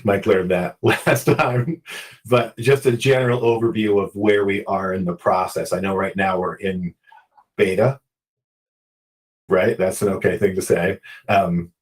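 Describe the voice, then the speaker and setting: A man's voice: deep voice, distant mic, poor audio. A man hosting a casual chat, joking and upbeat as he asks questions. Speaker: host.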